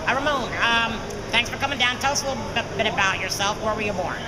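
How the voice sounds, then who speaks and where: A man interviewing a jockey outdoors at a racetrack, asking quick, friendly questions with fillers. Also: extremely high pitch. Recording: loud outdoor traffic noise.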